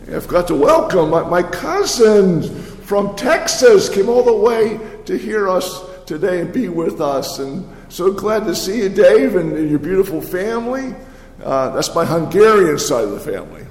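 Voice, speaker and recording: An older man speaking as a pastor, giving a folksy, conversational sermon with halting, repeated words in a large reverberant hall. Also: high voice, very animated. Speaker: pastor preaching. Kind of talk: sermon with folksy personal storytelling. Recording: echoey hall with long reverb.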